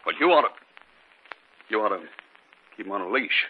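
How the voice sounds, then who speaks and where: An actor playing a skeptical, protective husband in 1950s radio drama style. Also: male voice, lowish voice, expressive, normal speed, a little hiss or hum, natural voice. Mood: irritated. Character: skeptical husband, protective, narrating an eerie tale. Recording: dull 1950s radio recording with music.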